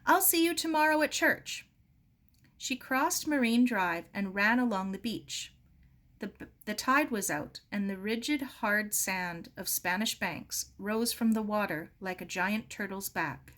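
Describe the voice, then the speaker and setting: A woman narrates a children's novel aloud at an unhurried pace. Her voice sits at an ordinary pitch for a woman, and the delivery is that of expressive storytelling. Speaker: story reader.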